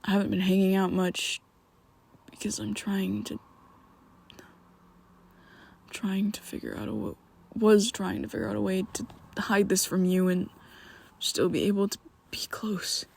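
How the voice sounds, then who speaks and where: Young male vampire, guilt-ridden best friend, hushed ASMR whisper with a raspy, fry-edged voice; a man performs it. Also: high voice, level tone, full character voice. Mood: hushed confessional. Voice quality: soft close whispering. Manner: halting, confessional, with restarts. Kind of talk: ASMR roleplay audio.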